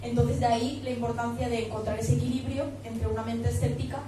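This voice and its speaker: A woman's voice: a little high, flat delivery, fast, warm tone, slight background noise. Young woman speaking in an echoey pub. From Spain. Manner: friendly, chatty, with many fillers. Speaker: book author and speaker. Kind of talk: public talk presentation. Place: pub event room. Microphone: handheld microphone. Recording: echoey room, far camera.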